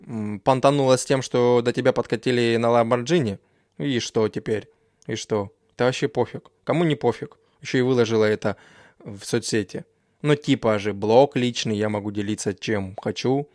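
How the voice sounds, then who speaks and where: A man's voice: mid-range voice, fairly even intonation, fairly quick, a little mellow, no echo. Man hosting reactions, casual slangy banter, dry close mic. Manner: dismissive, slangy, lots of fillers. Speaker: reaction video host.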